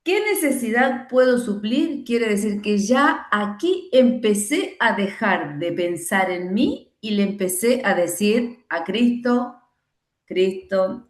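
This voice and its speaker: Lively Argentine woman in an echoey room. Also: mid-range voice. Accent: Argentine Spanish. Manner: emphatic, quotes imagined excuses and prayers. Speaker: trainer leading a workshop. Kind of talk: teaching conversation.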